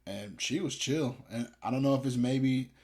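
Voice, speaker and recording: A young man co-hosting a casual podcast, rambling with hesitations and restarts. He drops in self-deprecating jokes. His voice has an ordinary middle pitch. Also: moderate pace, a little mellow. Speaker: podcast co-host. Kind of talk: casual chat.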